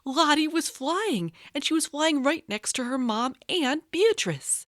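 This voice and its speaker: A woman's voice: sits high, lively intonation, a little slow, no room sound, studio quality. A woman narrating a storybook for young children as a storyteller.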